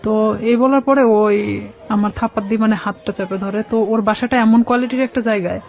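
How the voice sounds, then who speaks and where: A woman with a Bangladeshi accent telling a personal story as a radio guest, halting and repeating phrases as she explains. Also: on the high side. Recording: slightly dull, lo-fi radio sound.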